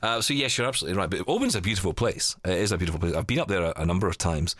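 A man hosting a tech podcast, chatting casually and telling stories with quick asides. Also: low-pitched, lively intonation, fairly bright tone, no echo. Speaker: podcast host. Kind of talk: casual chat.

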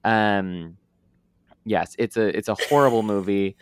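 Male podcast co-host, chatty and opinionated, smooth clear voice, dry close recording. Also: fairly low pitch, fairly even intonation, measured pace, no echo. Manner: chatty, opinionated, many fillers and restarts. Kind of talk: casual chat reviewing a movie.

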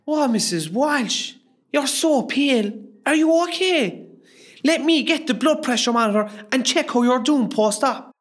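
A woman's voice: high-pitched, expressive, measured pace. A woman playing a dutiful, caring, firm hospital nurse in a radio play, attentive and instructing. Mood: concerned. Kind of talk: amateur radio play acting.

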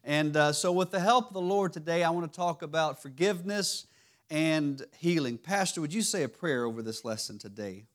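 A man with a raspy voice giving a church teaching sermon, halting and restarting often. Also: on the high side, animated. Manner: halting, many restarts and fillers. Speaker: lay preacher. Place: church sanctuary.

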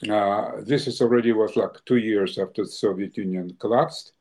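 A man's voice: fairly low pitch, normal speed, darkish tone. Hesitant older man. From born in Ukraine, came from Russia. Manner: hesitant, many fillers and restarts. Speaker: guest. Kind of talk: interview answers.

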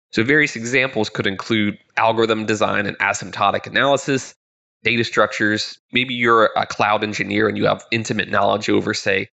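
Male podcast host, 30s, low-ish crackly voice with a stuffy nose, casual solo monologue. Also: vocal fry, sharp tone, highs cut off. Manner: upbeat, conversational, with frequent word repeats. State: congested, slightly nasal from illness.

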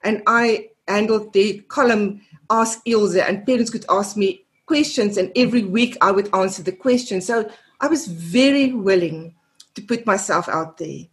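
A woman in her fifties from South Africa with a South African accent, Afrikaans as her first language, a therapist guest earnestly recounting her career in an interview. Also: medium pitch. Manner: earnest, repeats words while searching for them. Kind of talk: reflective interview answers.

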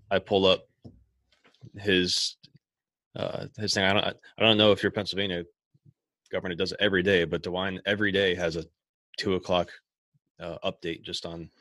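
Rambling low-voiced man, dry sound. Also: fairly bright tone, dry recording. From Ohio, USA. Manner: rambling, many restarts and fillers. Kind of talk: casual conversation.

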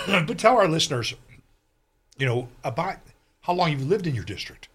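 A man hosting a political talk radio show, arguing his points with frequent throat clearing and tag questions. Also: a little high, very animated, normal speed, a bit bright. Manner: argumentative, frequent throat clearing, tags "okay?" and "right?".

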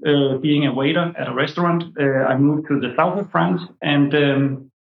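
Guest: Danish-accented man, 40s, halting academic storyteller; roomy, muffled sound. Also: on the high side, gravelly, a little hiss or hum. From Denmark. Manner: hesitant, many fillers and restarts. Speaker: guest, anthropology professor. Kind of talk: interview answers, storytelling.

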